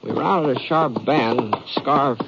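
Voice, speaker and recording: A man's voice: mid-range voice, takes it slowly, vocal fry, very noisy. An actor playing an easygoing, folksy cowboy hero who tells his tale with a hesitant drawl in a 1950s radio western. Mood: folksy, reflective. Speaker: lead actor and narrator. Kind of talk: 1950s radio western acting, first-person storytelling. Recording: dull 1950s radio recording, music behind.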